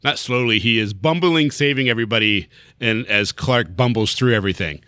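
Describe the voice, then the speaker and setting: A man's voice: mid-range voice, brisk, pushed and bright, clean tone, no room sound. A man co-hosting a podcast, chatting casually about comics, rambling and swearing, laughing often. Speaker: podcast co-host.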